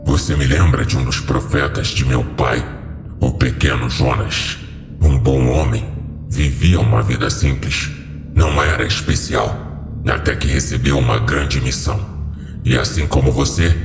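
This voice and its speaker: A man voicing an ancient fallen angel, solemn and grandiose, in a slow audio horror story delivery with cavernous reverb. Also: fairly even intonation, gravelly, full character voice. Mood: wise, calm. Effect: cavernous echo and reverb on a lowered voice. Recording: echoing hall reverb over music.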